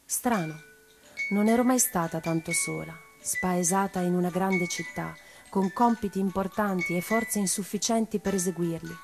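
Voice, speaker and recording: An actress playing a composed, introspective woman revolutionary reciting her letters in a radio play, measured and intimate. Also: lowish voice, gravelly. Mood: calm, detached. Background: mallet music.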